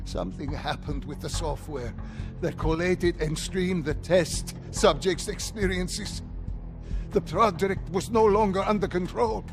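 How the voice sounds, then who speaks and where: A frightened captive doctor in a cinematic game. He is defensive and halting, with a slightly high, pleading voice over clear background music. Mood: nervously defensive. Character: fearful male doctor, guilt-ridden and defensive captive. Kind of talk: cinematic video game acting. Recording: music behind the voice.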